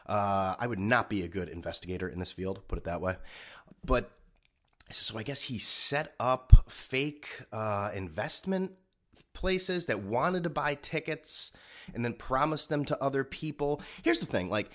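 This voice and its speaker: A man's voice: medium pitch, animated, a little slow, fairly bright tone. A man hosting a solo podcast, talking in a sarcastic, rambling way with a slightly stuffed-up nose. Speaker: podcast host.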